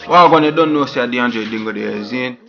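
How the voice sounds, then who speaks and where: A brash young Jamaican man, put on by a vlogger, talks in loud, swaggering patois and keeps asking if you hear him. His voice has a gravelly edge. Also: medium pitch, normal speed, dull audio, soft background music, lightly acted. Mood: boastful.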